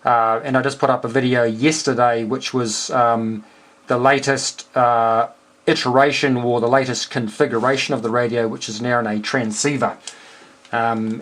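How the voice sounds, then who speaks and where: A New Zealand man speaks as a ham radio hobbyist in a medium-pitched voice. He explains technical details casually, with a hesitant, stop-and-start delivery. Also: a bit bright. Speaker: amateur radio operator.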